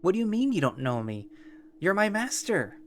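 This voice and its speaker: A man's voice: fairly high pitch, big pitch swings, normal speed, fairly bright tone, a little hiss or hum, voice pushed up. A man voicing a devoted, chipper male furry creature who calls someone master. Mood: eager. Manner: chipper, pleading.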